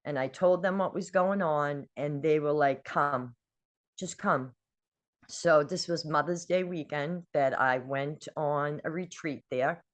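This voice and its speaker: An older woman from New England, a guest telling her personal story in an earnest, emotional way with frequent pauses and ums. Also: sits low. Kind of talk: personal testimony.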